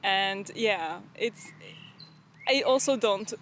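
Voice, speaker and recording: A woman's voice: mid-range voice, slow, slight background noise. A woman with a non-native English accent interviewing a friend, speaking thoughtfully and hesitantly. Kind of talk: casual conversational interview.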